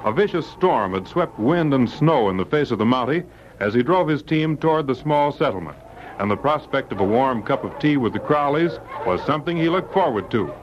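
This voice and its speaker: A man's voice: mid-range voice, animated, brisk. A man narrating a scripted vintage radio drama as its announcer, in a dramatic, declamatory style. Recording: muffled, noisy old radio recording.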